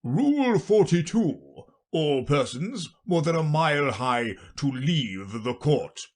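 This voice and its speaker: A narrator voicing a pompous, muddle-headed old king, loud and emphatic, in a storybook reading. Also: medium pitch, very animated, takes it slowly, pitched above the performer's own voice. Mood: blustering. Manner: loud, emphatic, self-important.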